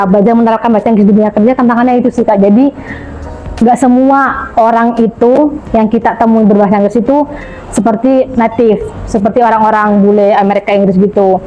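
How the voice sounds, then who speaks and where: A woman's voice: fairly high pitch, highs cut off. An Indonesian woman speaking as a podcast guest, chatting and telling stories, often addressing the host as "Kak" and mixing in English words. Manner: chatty, storytelling, often adds "Kak" to her sentences. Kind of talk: casual podcast chat.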